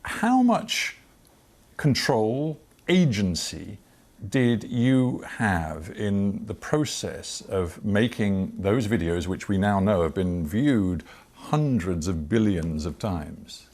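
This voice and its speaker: An older man with a British accent conducting a broadcast interview, asking measured, deliberate and probing questions. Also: on the low side, big pitch swings, a little mellow. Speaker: television interviewer.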